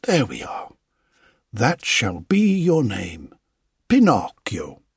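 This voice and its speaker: Man as a doting, husky woodcarver father. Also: mid-range voice, huge pitch swings, no echo, clean studio sound, heavily acted. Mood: fond, instructive. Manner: deliberate, fond, emphatic. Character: doting old woodcarver father, gently instructive and proud. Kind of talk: audiobook character voice.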